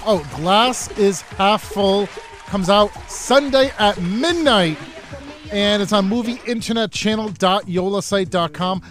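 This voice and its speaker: A man in his forties chatting casually as a talk show guest, with excitable, high-energy delivery and a habit of repeating himself. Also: a little slow, faint music behind. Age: 40s. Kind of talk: casual banter. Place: radio-style studio.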